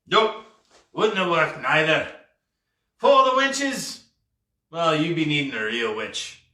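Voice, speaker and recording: A man acts out a ghostly pirate captain for a storybook, burly, sly and full of bluster. He drags out his archaic seafaring phrases very deliberately, with a bright, forceful edge. The sound is roomy and set back from the mic. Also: fairly high pitch, big pitch swings, full character voice. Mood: exasperated. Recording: roomy, echoey, distant mic.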